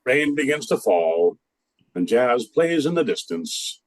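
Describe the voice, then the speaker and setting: A man's voice: medium pitch, very animated, slow, no room sound. A man narrating and announcing a scripted radio drama.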